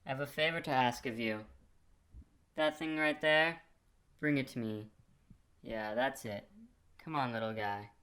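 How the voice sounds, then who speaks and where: A man's voice: medium pitch, measured pace, long pauses, a bit bright, smooth, lightly acted. A man playing a crass, self-absorbed king in a puppet film, talking in a casual, rambling, condescending way. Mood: coaxing. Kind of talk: puppet film character acting.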